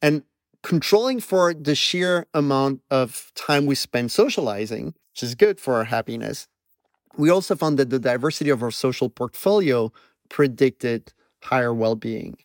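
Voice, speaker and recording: A man's voice: medium pitch, expressive, normal speed, dry recording, studio quality. A man with a Belgian French accent, a researcher explaining his studies and telling a personal story in an interview, thoughtful and self-deprecating. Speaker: emotion researcher guest. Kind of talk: conversational interview.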